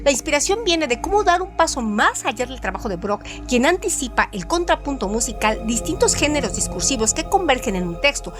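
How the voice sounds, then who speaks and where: A woman with a high voice gives a scripted reading. Her delivery is lively and full of movement, with music playing clearly underneath. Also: a little fast, few pauses. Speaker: host reading trivia segment. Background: background music.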